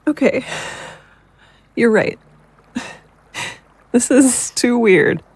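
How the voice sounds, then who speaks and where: A woman acting a fragile, troubled psychic in an audio drama, husky and hesitant in her speech. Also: a little high, expressive, very slow, no echo, exaggerated voice. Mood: subdued, hesitant. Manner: hesitant, faltering. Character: distraught, fragile psychic woman at breaking point.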